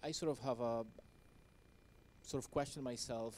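A man's voice: mid-range voice, steady tone, a little mellow, slight background noise. A man in his thirties with a Polish accent, a native Polish speaker, asking a hesitant question from the audience of a cinema hall into a handheld mic. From Poland. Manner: hesitant, halting, many "uh" and restarts.

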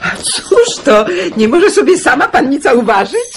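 A woman's voice: high voice, big pitch swings, unhurried, a little hiss or hum. A woman playing a hospitable, chatty village schoolteacher in a radio play. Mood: welcoming.

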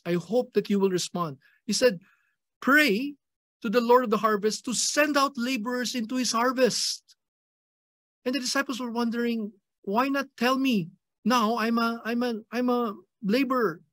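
A man's voice: high-pitched, a bit bright, no echo. A middle-aged man with a Filipino accent giving an earnest chapel sermon, repeating key phrases for emphasis. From Philippines. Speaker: pastor. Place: indoor chapel at a lectern. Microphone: stand mic in front of the mouth.

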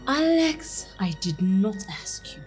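A woman's voice: medium pitch, sing-song, takes it slowly, darkish tone, faint music behind. A woman with a Nigerian accent acting as a jealous, short-tempered girlfriend in a screen drama, scolding and insistent. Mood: furious.

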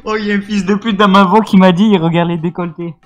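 A man's voice: sits high, steady tone, fast talker, soft background music. A man joking casually in slangy online chat.

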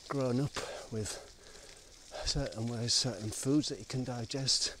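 A man's voice: mid-range voice, a little slow. A man rambling on in a loose, musing way while cycling outdoors, recorded on a camera mounted on his bike. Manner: musing, wandering, loose and unscripted. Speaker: vlogger. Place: outdoors on a country road and woodland, riding a bike. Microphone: action camera on the bike. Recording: noisy outdoor action-camera audio.

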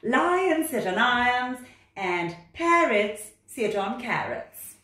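A woman's voice: a little high, big pitch swings, slow pace, pushed and bright, pitched above the performer's own voice, exaggerated voice. A woman voicing a bossy, impatient know-it-all storybook cat, making emphatic singsong rhyming pronouncements. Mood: insistent. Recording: roomy, distant microphone.

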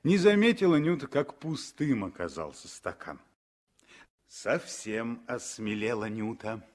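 Expressive, animated male radio narrator. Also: mid-range voice. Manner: expressive, dramatic storytelling, deliberate phrasing. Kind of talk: scripted radio drama narration, 1960s.

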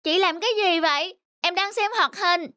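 A woman's voice: very high voice, moderate pace, pushed and bright, no echo, clean studio sound. A woman voicing a lonely, stubborn schoolboy in a cartoon, childlike and sulky. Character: lonely, stubborn schoolboy left home alone. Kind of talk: cartoon voice acting.

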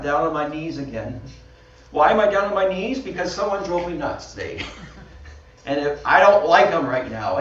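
A man with a Canadian accent, a pastor giving a conversational sermon with slides, laughing at himself often. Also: a little high. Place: small church hall. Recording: dull, roomy sound from far off.

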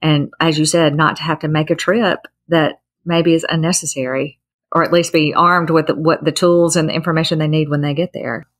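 A woman with a distinctly low-pitched voice hosts an interview. She speaks conversationally, affirming what she hears with enthusiasm. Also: level tone, moderate pace. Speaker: podcast host.

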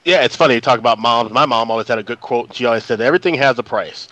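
Brisk thirty-year-old man breaking down odds. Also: medium pitch, bright, forceful tone, no echo, dull audio. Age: 30s. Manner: brisk, analytical. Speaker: guest handicapper. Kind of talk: casual sports betting chat. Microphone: headset.

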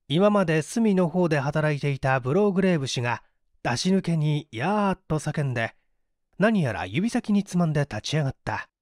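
A man narrating a mystery story as an audiobook, with an expressive, dramatic delivery. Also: a little high, no room sound, studio quality. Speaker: audiobook narrator, stage actor.

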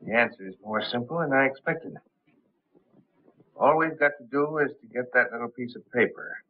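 An actor playing a sly, scheming gang boss in a 1930s film. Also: male voice, mid-range voice, normal speed, husky, natural voice. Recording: tinny, band-limited 1930s film soundtrack.

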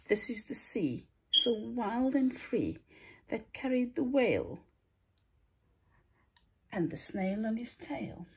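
A woman reading a rhyming children's picture book aloud in a theatrical, deliberate way, stretching words and hushing the ends of lines. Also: on the high side, slight background noise, low-quality recording. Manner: theatrical, deliberate storytelling with drawn-out words and breathy hushed line endings. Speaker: storybook reader, teacher.

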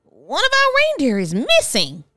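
A woman's voice: high-pitched, wildly swooping pitch, a little slow, bright, forceful tone, no echo, studio quality, soft background music, pitched above the performer's own voice, heavily acted. A woman voicing an anxious little old male elf in a children's storybook, hesitant and apologetic. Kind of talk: children's storybook read-aloud.

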